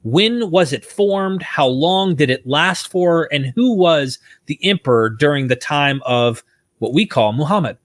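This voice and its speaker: A man's voice: on the high side, lively intonation, measured pace, no echo, clean studio sound. A man hosting an interview podcast, asking questions and pointing listeners to linked material in a friendly tone. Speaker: podcast host.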